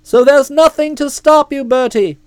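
A woman voicing a hearty, bossy middle-aged aunt who talks in a loud, breezy, emphatic way. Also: high voice, moderate pace, no room sound, close to an everyday voice. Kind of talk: audiobook character voice.

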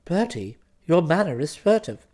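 A man's voice: fairly high pitch, sing-song, normal speed, a little mellow, voice pushed down. A man voicing a haughty, stern young English aristocratic woman in a storybook, cold, clipped and imperious. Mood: suspicious.

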